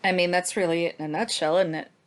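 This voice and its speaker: A woman's voice: lowish voice, fairly even intonation, fast talker. A woman hosting a book discussion in a sardonic, casually sweary way. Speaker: podcast host.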